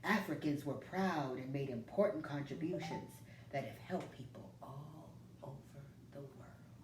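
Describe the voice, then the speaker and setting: A woman reading a children's picture book aloud, often in a hushed near-whisper. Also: very deep voice, a little slow. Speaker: storyteller. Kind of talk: children's story read-aloud. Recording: roomy, distant, faint background noise.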